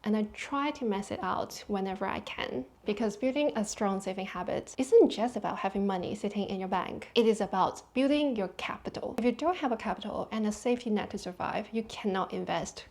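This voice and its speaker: A woman's voice: medium pitch, fairly quick, vocal fry, few pauses, darkish tone. A woman in her thirties from Hong Kong with a Hong Kong accent, a personal finance vlogger chatting casually to camera and laughing lightly between points. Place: London.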